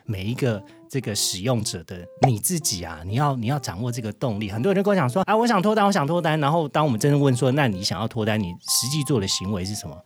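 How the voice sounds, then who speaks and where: A man's voice: mid-range voice, animated, quick pace, hardly any pauses. Podcast guest: Taiwanese man, swooping intonation, blunt and theatrical, drops in English, music underneath. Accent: Taiwanese Mandarin. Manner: blunt, dramatic, gives vivid examples; mixes in English words. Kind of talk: animated interview chat.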